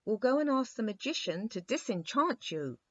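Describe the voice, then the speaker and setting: A cheerful little country girl, put on by a woman reader, frank and full of spirit. She speaks in a lifted pitch, recorded dry with a slightly muffled tone. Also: very animated, moderate pace, no room sound. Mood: excited.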